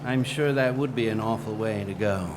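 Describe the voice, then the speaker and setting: A man playing a haughty, evasive gentleman archaeologist in a macabre audio drama, with an arch, sardonic, pompous delivery. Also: fairly low pitch, moderate pace, background noise, natural voice. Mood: dry, suave. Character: haughty, evasive gentleman archaeologist, scornful male rival named Stanley.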